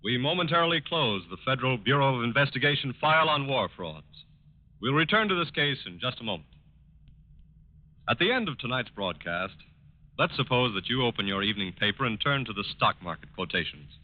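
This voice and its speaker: A male announcer from forties radio speaks formally and emphatically, with big swings in intonation. His mid-pitched voice is slightly muffled by the old recording. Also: big pitch swings, a little fast, dry recording. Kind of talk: 1940s radio sponsor announcement. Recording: dull vintage radio recording.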